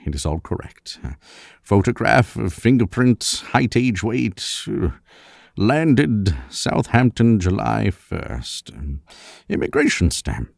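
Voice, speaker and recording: A man's voice: lowish voice, very animated, slow pace, creaky, no room sound, clean studio sound, heavily acted. An actor playing a courtly, absent-minded older professor and father in a vintage-style radio mystery, formal and musing. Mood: formal, cautious.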